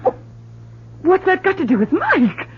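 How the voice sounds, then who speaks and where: An anxious, protective mother in vintage radio melodrama. Her voice is high and swooping, fretful and pleading, through a dull, faintly noisy broadcast sound. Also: huge pitch swings, unhurried, exaggerated voice. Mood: alarmed. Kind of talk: old-time radio drama acting.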